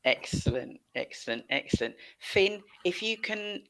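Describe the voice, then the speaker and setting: A middle-aged British woman with a gravelly, creaky voice that sits low for a woman. She hosts informally, chatting to guests and viewers over a video call. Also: expressive, a little slow, crowd noise, low-quality recording. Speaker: host. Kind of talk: informal chat-show hosting. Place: indoor room, video call. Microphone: headset.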